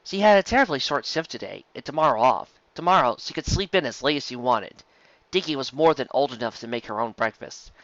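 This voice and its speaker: A narrator reading fan fiction aloud in an expressive, animated way, occasionally stumbling over the words. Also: medium pitch, fairly quick, no room sound, muffled recording. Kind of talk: expressive scripted story narration.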